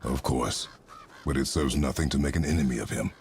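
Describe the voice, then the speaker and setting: An extremely deep, gravel-laden voice of a stern god of war and father, for a video game. He is terse, solemn and commanding, with faint noise behind him. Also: a little fast, darkish tone. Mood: reproving. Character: stern, guarded warrior father, a secretive god. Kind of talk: video game character acting.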